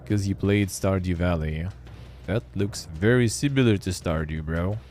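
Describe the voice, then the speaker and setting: A deep-voiced man hosts a live stream in a loose, offhand way, muttering to himself and reading text aloud with dry little remarks. Soft music plays quietly behind him. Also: normal speed. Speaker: streamer and show host. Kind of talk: casual live-stream commentary.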